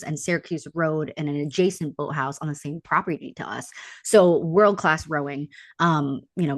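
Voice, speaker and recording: Low-voiced young American woman, casual and candid. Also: no room sound. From upstate New York, United States. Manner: informal, candid, frequent use of "like". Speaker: podcast guest. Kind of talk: casual interview chat.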